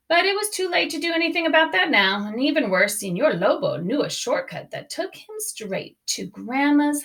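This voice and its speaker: Bright, very animated woman reading aloud. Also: medium pitch, gravelly. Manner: expressive, emphatic. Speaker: storybook reader. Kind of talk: children's read-aloud narration.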